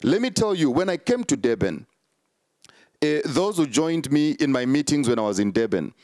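A man's voice: on the high side, sing-song, normal speed, a little mellow, no echo, studio quality. A man, a prophet answering interview questions in an emphatic, repetitive preaching cadence with many fillers.

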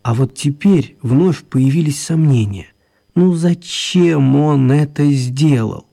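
An actor narrating a children's tale as the storyteller of a radio play. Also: male voice, on the high side, slow pace, creaky, warm tone, exaggerated voice. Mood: storytelling.

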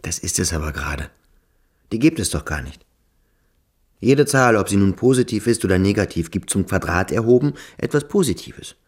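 A man playing a brooding, earnest cadet in a radio drama, hushed and searching. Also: fairly low pitch, animated, normal speed, slightly rough. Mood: puzzled. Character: brooding, earnest male cadet at a boarding school. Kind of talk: literary radio drama acting.

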